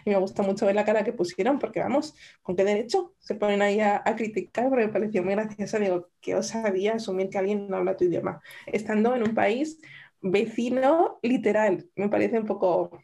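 Woman, podcast co-host, mellow warm tone, storytelling, casual chat. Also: mid-range voice, brisk, vocal fry. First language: Spanish. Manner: storytelling, many restarts and "o sea". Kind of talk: casual chat over wine.

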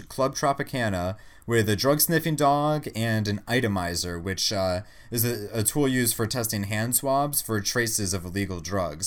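Slightly low-voiced man co-hosting a podcast. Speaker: podcast co-host. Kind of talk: casual news commentary.

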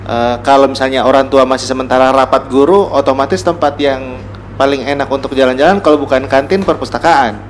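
A man's voice: mid-range voice, fairly quick. A man with a Gorontalo Indonesian accent chatting as an interview guest, explaining reflectively and searching for his words. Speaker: podcast guest, writer. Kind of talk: casual conversational interview. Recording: noticeable room noise and ambience.

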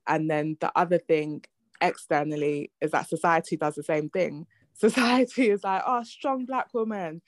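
A woman in her thirties talks through her thoughts on a podcast, pausing and restarting as she reflects. Her voice sits low for a woman. The sound is dry and close, with no room around it. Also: no room sound. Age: 30s. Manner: reflective, thinks aloud with fillers and restarts. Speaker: podcast host. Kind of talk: casual conversation.

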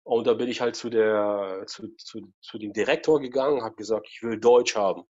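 Interview guest, forties, husky voice, rambling storyteller, muffled recording. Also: male voice, lowish voice, normal speed, a little mellow. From former Soviet Union. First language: Russian. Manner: rambling with many fillers and tag questions. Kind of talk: casual personal storytelling.

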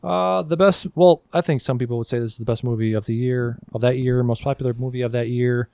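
A man's voice: mid-range voice, steady tone, fast talker, gravelly, warm tone, smooth, no echo. A man co-hosting a podcast in casual banter, reading out facts between asides, irreverent and casually profane. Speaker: podcast co-host.

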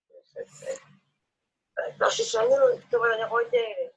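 A raspy, creaky man with a Jakarta accent, heard over a muffled phone line. His voice is high and swings widely in pitch as he chats casually. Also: big pitch swings, a little slow. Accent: Jakarta Indonesian. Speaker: friend receiving a prank call.

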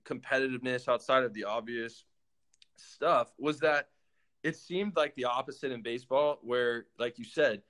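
A man in his thirties tells his story in a casual chat, rising and falling as he acts out what went through his head. His pitch sits in the ordinary middle range, and his delivery is lively and conversational. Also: moderate pace. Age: 30s. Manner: animated storytelling, acts out his own thoughts in quotes. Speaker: former pro baseball player.

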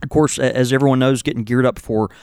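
A man in his thirties co-hosting a casual chat, easygoing and teasing, with lots of drawn-out filler words. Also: mid-range voice, fairly even intonation, darkish tone, clean tone, no echo. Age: 30s. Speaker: podcast co-host and tobacco shop owner.

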